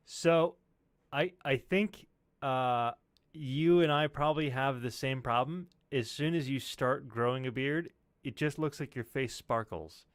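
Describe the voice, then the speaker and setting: A man's voice: mid-range voice, measured pace, no room sound. Dry-sounding man in casual banter. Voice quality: voice actor. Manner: joking, self-deprecating, swears freely. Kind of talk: casual chat on a live stream.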